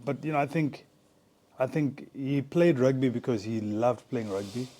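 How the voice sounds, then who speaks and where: A man's voice: medium pitch, fairly even intonation, moderate pace, warm tone. A man in his forties from Zimbabwe, a former rugby player, talking casually as an interview guest with many fillers and restarts.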